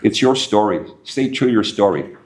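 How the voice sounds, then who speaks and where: Low-voiced man giving earnest advice. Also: expressive, moderate pace, darkish tone. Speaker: panel speaker. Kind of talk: closing remarks of an introduction.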